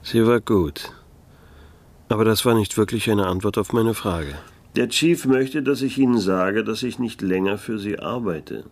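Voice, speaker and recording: An actor playing a laconic, disillusioned private detective who narrates and speaks in a German radio play. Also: male voice, lowish voice, a little slow. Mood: cool, probing. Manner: laconic, dry, world-weary. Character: wry, disillusioned male private detective narrating his case.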